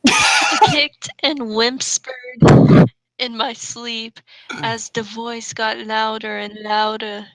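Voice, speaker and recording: A woman's voice: on the high side, slow, no room sound, low-quality recording. A woman reading fan fiction aloud for comedy, breaking into casual, playfully exasperated commentary.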